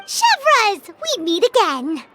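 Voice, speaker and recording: A woman voicing a tiny, childlike, perky fairy companion in a video game, with a squeaky voice. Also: sing-song, slow, very noisy, full character voice. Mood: perky, curious. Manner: refers to itself in third person, perky. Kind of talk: video game character acting.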